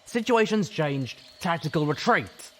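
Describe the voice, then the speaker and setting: A fierce military mare, acted out by a man, barks in a voice pushed well up in pitch. She sounds commanding and defiant, in dramatic audiobook style. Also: lively intonation, a little slow, fairly bright tone, a little hiss or hum. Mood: urgent command. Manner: barking, defiant. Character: fierce, commanding female pegasus soldier pony. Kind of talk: dramatic audiobook character reading.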